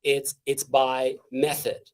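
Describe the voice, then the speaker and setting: A man from Canada speaks as an interview guest, his voice fairly high and full of energy. He talks in an animated, halting way, restarting phrases and dropping in fillers as he goes. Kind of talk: casual interview talk.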